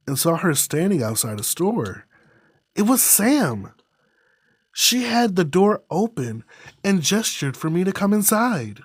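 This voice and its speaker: A man reading a visual novel aloud as a streamer, with dramatic, deliberate phrasing. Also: a little high, dry recording. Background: music.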